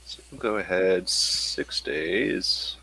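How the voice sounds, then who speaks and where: Slow, low-voiced man as game master. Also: animated, gravelly, bright, forceful tone, slight background noise, low-quality recording. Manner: halting, thinking aloud. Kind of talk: improvised narration of a tabletop role-playing game.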